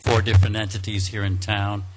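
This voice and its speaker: A man answers questions from a town board in a polite, earnest way, with some pauses and fillers. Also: fairly low pitch, little pitch movement, background noise. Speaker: applicant at a board interview. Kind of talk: answering questions at a public meeting. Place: town board meeting room.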